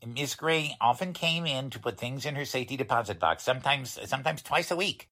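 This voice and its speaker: A man's voice: mid-range voice, expressive, a little fast, sharp tone. A man playing a chatty, deferential bank attendant in a folksy, plain-spoken 1940s radio drama style. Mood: earnest, deferential.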